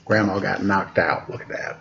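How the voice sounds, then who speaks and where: A man's voice: low voice, steady tone, vocal fry, a bit bright, highs cut off, slight background noise. A man reading a picture book aloud for story time, playfully and without hurry, stopping for casual asides about the pictures. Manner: playful, unhurried, pausing to comment on pictures.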